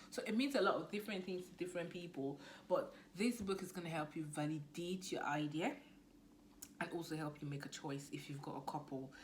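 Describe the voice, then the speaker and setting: Nigerian woman, chatty low voice. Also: slight background noise. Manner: chatty, animated, drops to near-whisper asides. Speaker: business coach vlogger. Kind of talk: casual talk to camera.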